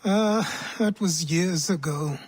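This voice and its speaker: Weary, measured old mentor. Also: male voice, high voice, darkish tone, breathy edge, full character voice. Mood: weary, instructive. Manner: measured, unhurried, understated. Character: weary, guarded old mentor, dry and authoritative. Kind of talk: video game cutscene acting. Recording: faint music behind the voice. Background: music.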